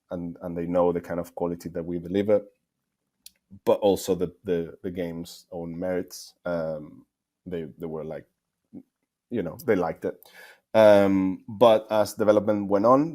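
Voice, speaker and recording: A man's voice: low-pitched, steady tone, unhurried, a little mellow. A man from Spain with a Spanish accent, answering interview questions conversationally as a game developer, thinking aloud with frequent repeats. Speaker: game developer, guest speaker.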